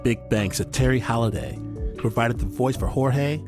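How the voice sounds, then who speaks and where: A man's voice: mid-range voice, normal speed. A man hosting a podcast, reading scripted credits and introductions. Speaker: podcast host. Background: music.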